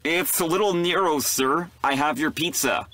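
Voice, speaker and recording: A man's voice: a little high, a little slow, pushed and bright, pitched above the performer's own voice. A man voicing a timid young pizza delivery boy, hesitant and polite, in a film comedy scene. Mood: nervous, polite.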